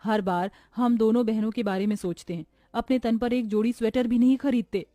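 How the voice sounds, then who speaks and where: A woman's voice: fairly high pitch, fairly even intonation, a little fast, warm tone, no room sound, studio quality, close to an everyday voice. A woman voicing a devoted young sister from a poor family in a cartoon story, pleading and emotional. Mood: tearful, tender.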